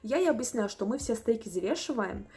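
A young woman in her twenties telling a story to the camera from a small room at home, animated and indignant. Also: a little high, fairly quick. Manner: animated, indignant, acts out customers' voices. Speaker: vlogger.

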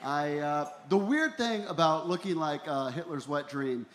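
Male comedian, 40s, slightly high voice, deadpan and self-deprecating stand-up delivery, live and echoey. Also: a little slow, slight background noise. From Ecuador and El Salvador. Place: small comedy venue in a tent, on stage. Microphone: handheld stage microphone. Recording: roomy live comedy club sound.

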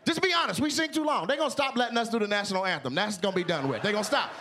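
A man from Seattle doing stand-up on a studio stage with a handheld mic, loud and animated, shouting his punchlines. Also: high-pitched, very fast. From Seattle, Washington. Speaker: stand-up comedian. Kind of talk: stand-up comedy. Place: large TV studio stage with live audience.

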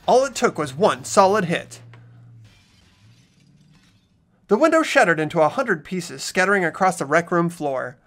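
Visual novel schoolboy lead, acted: youthful, earnest, anxious, animated intonation, music underneath. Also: medium pitch. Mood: urgent. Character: earnest teenage schoolboy narrator, easily startled, anxious. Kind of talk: visual novel dub, narration and dialogue. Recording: background game music.

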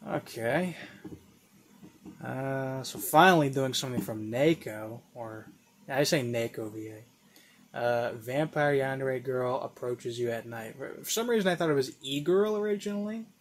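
A man's voice: medium pitch, measured pace. Man, reaction host, dry asides, faint background noise. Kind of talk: casual commentary.

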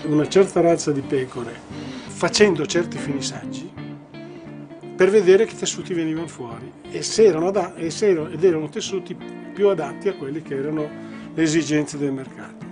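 A man's voice: fairly high pitch, fairly quick. An older man recollecting in a documentary interview. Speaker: textile company head. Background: plucked guitar music.

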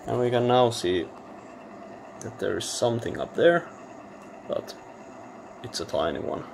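Slow, halting man explaining electronics, noisy recording. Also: medium pitch, expressive, vocal fry. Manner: halting, matter-of-fact, thinking aloud. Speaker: electronics hobbyist presenter. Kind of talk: casual technical demonstration. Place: indoor electronics workbench.

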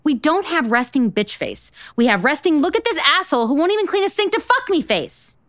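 Female lead, rom-com audio drama: blunt cynical single woman, highish pitch, very animated delivery. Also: brisk, no echo, clean studio sound. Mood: indignant ranting. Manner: sarcastic, emphatic, profane. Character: blunt, exasperated, foul-mouthed single woman, sharp-tongued cynic. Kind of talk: romantic comedy audio drama acting.

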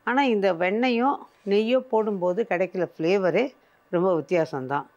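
A woman's voice: mid-range voice, lively intonation, normal speed, a little mellow, lo-fi sound. An older woman presenting a cooking show, patiently explaining each step as she works in a studio kitchen.

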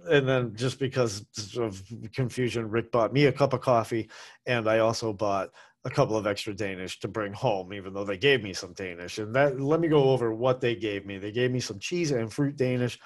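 A man's voice: on the low side, moderate pace. A middle-aged man talking through a food review in a loose, rambling way, correcting himself as he goes, into a desk microphone at home. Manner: rambling, chatty, with self-corrections and asides. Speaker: food vlogger. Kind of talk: casual food review monologue. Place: small home room with posters. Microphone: desk microphone on a boom arm, webcam framing.

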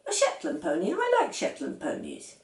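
A woman's voice: mid-range voice, wildly swooping pitch, normal speed, roomy sound, far from the mic, pitched above the performer's own voice. A woman voicing a small, determined and scornful little girl in a storybook reading, emphatic and matter-of-fact.

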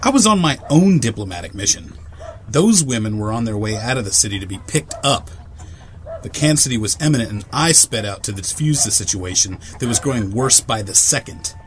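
A resolute, dry-humored rescuer acted by a man in a radio drama, his voice low and measured. He sounds earnest and dramatic, in an echoey space with a little noise. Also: lively intonation, close to an everyday voice. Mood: earnest, regretful. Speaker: voice actor. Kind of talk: audio drama acting.

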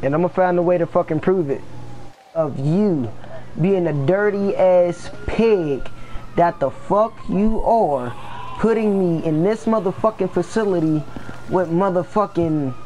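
A man's voice: high-pitched, a little slow, dark tone, a little hiss or hum. A man playing a defiant, wronged inmate and gang boss in improvised game role-play, talking in indignant, profane street slang. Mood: indignant, bitter.

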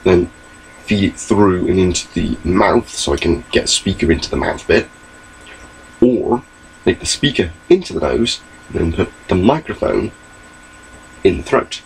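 A man's voice: low-pitched, expressive, a little slow, vocal fry, pauses often, a little hiss or hum, low-quality recording. A young man giving a rambling, chatty webcam update from his small bedroom, recorded on his laptop mic. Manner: rambling, chatty, gestures a lot. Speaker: vlogger.

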